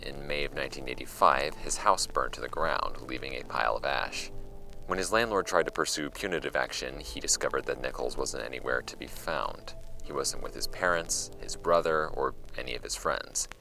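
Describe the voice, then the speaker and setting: An actor playing a wry archive host, reading old case files aloud in a measured, conversational way for a scripted audio drama. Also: male voice, extremely low pitch, creaky, sharp tone, heavily acted, poor audio. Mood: wry, matter-of-fact. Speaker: host of a fictional case-file podcast. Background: music under the voice.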